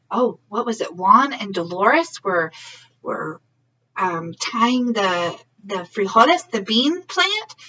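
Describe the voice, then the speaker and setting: A woman's voice: medium pitch, pushed and bright. A woman reading a story aloud for young children in a teacherly way, often checking in with questions. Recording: slightly muffled, distant mic.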